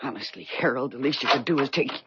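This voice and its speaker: An actress playing a blunt, bitter middle-aged wife, world-weary and dry, in a 1970s radio drama. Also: female voice, extremely low pitch, quick pace, background noise. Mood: puzzled, wary. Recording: dull 1970s radio broadcast recording.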